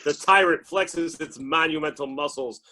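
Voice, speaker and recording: A man's voice: a little high, normal speed, pushed and bright. A man reading action directions as narrator at an informal script table read.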